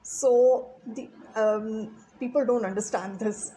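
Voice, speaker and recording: A middle-aged woman, a guest teacher answering interview questions in an earnest, explanatory way, often pausing to find her words. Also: on the high side, steady tone, dark tone, rough recording. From Pakistan. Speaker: guest, English teacher and trainer.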